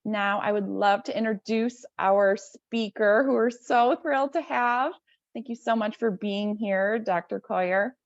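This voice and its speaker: A woman's voice: mid-range voice, measured pace, no room sound. A woman hosting an online webinar, giving a prepared introduction that is partly read aloud over a video call. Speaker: webinar host introducing a speaker. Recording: slightly dull video-call audio.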